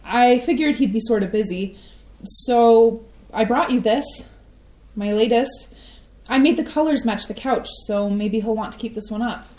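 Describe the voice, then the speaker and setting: An actress playing an earnest young adult daughter, an artist, who speaks calmly and a little hesitantly in a staged play reading. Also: female voice, a little high, a little slow, natural voice. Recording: echoey stage.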